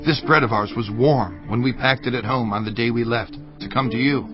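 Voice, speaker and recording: A man's voice: mid-range voice, brisk, muffled recording, close to an everyday voice. Male actor as a weary male envoy pleading humbly, mid-range voice, audio Bible drama over faint music. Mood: humble pleading.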